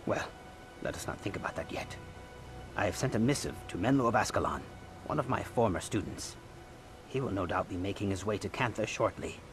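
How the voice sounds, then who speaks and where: An actor playing a grave, wise old martial-arts master in a video game cutscene, speaking in a solemn, measured, formal way. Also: male voice, sits low. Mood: solemn, urgent.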